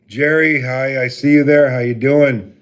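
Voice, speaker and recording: Deep-voiced man chatting on a livestream. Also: fairly even intonation, clear, resonant tone. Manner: halting, many filler pauses, reads out viewer names. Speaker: livestream host. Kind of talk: casual live stream chat with viewers. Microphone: laptop mic.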